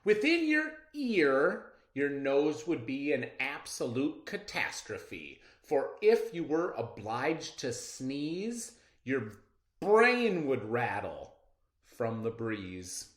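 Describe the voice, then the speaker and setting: A man teaching young children to read, with an expressive, instructive delivery and emphatic phrasing, as in a read-aloud lesson. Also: medium pitch, slow pace, echoey room. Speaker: elementary reading teacher.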